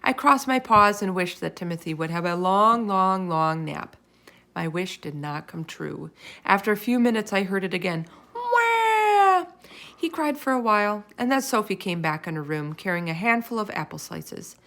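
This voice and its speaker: Creaky-voiced woman reading a children's story. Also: lowish voice, animated, normal speed, sharp tone. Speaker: storybook reader. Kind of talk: expressive children's read-aloud narration.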